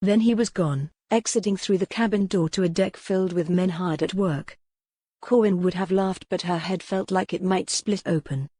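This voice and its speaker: A woman's voice: on the low side, moderate pace, dark tone, slightly rough, dry recording. A woman reading a historical romance novel as scripted audiobook narration.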